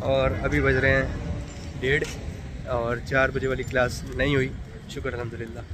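A man's voice: medium pitch, level tone, moderate pace. A young man chatting casually into his phone as he walks around the city, upbeat and talkative. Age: young adult. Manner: chatty, upbeat narration to camera. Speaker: vlogger. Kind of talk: casual travel vlog. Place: outdoors on city streets. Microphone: phone held at arm's length. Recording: noisy outdoor street recording. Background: traffic and street noise.

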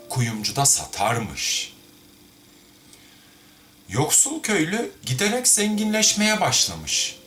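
A man telling tales as an amateur storyteller, in an expressive, theatrical and colloquial way with a sardonic streak. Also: medium pitch. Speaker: amateur storyteller, host of a tale-reading series. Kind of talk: expressive fairy-tale reading with informal commentary.

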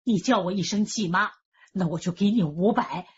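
A woman's voice: mid-range voice, normal speed, husky, highs cut off. An actress playing a stubborn, nagging elderly woman in a Chinese crime radio drama. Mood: scolding. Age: older.

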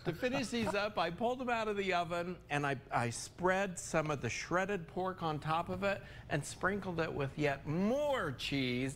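Lively older American man, cooking host. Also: a little high, a little hiss or hum. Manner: chatty, folksy, informal. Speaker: TV chef, cooking segment host. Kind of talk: casual cooking demonstration. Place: TV studio kitchen, indoors.

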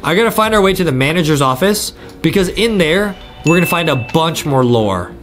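A man in his twenties giving excited gameplay commentary, eagerly repeating his phrases. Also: on the high side, fairly quick. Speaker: gaming video host. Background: faint game music.

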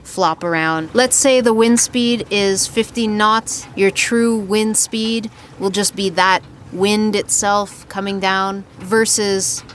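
A woman's voice: mid-range voice, takes it slowly, hardly any pauses. Woman explaining sailing on a boat deck. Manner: explains with hand gestures, relaxed and practical. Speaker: sailing vlogger explaining basics. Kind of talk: casual explanatory talk to camera. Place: outdoors on the deck of a sailboat at sea. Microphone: handheld furry lavalier held near the mouth. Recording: faint wind and water noise.

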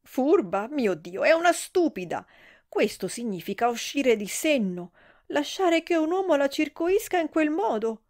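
A woman putting on brash, shrill gossip Belle. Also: sing-song, a little slow, darkish tone. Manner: shrill, exclamatory outbursts. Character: brash gossipy middle-aged woman, loud and exclamatory.

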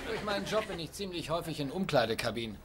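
Easygoing sitcom pal, higher voice. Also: male voice, sing-song, fast, a loud crowd. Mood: easygoing, upbeat. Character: easygoing, accommodating adult sportswriter friend. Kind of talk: dubbed sitcom acting. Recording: very noisy TV sitcom soundtrack.

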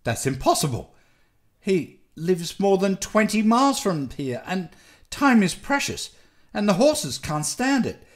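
A man's voice: high-pitched, big pitch swings, measured pace, voice pushed up. A man voicing a desperate, tearful wife who pleads urgently in a dramatic story. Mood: imploring. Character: desperate tearful young wife begging for help.

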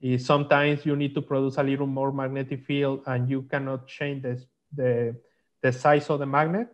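A man explaining technical slides in halting, on a video call. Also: mid-range voice, little pitch movement. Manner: halting, non-native English phrasing. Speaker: guest, magnetics consultant. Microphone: video call microphone. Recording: dull lo-fi online call.

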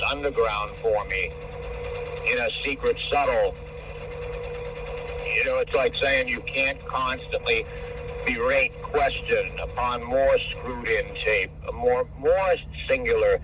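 An older man, over 65, talking in a rambling, profane, hard-to-follow way on a phone call. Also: mid-range voice. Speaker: caller. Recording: distant phone call with faint car noise.

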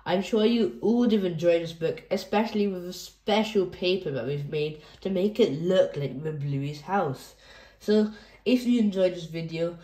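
A woman's voice: on the low side, warm tone, echoey room. A woman hosting a children's read-aloud, reading a picture book expressively for young listeners.

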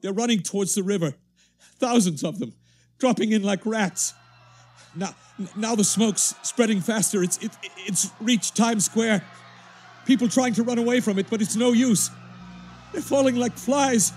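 Man acting a panicked, stammering radio news reporter, pitched up. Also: normal speed, a little mellow, soft background music, lightly acted. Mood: mounting terror. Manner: urgent, stammering. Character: panicked male radio reporter witnessing an invasion. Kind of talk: 1930s radio drama acting.

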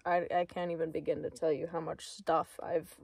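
A young woman in her twenties chatting casually to a handheld camera, giggly and prone to excited exclamations, filming in her car and on trails. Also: low-pitched, moderate pace, gravelly. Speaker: vlogger. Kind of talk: casual vlog chat to camera. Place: inside a parked car and outdoors on forest trails. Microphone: phone or camera held at arm's length.

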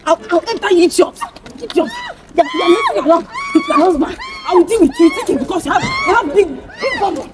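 Very shrill, furious Nigerian wife, acted by a woman. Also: huge pitch swings, quick pace, exaggerated voice. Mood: furious, threatening. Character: furious, threatening wronged wife confronting husband's mistress. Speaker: actor in a drama skit. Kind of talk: heated comedy-drama skit acting. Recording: noisy roadside location.

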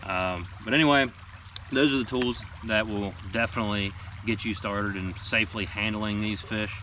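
A man in his thirties with a fairly low voice talks easily from a small boat outdoors, chatting through gear tips with lots of ums and small asides. The camera mic sits a few feet off, so he sounds a little distant, with clear outdoor noise around him. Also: normal speed. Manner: relaxed, plain-spoken, many ums and asides. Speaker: fishing guide and show team member. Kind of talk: casual instructional talk to camera. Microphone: camera mic from a few feet away.

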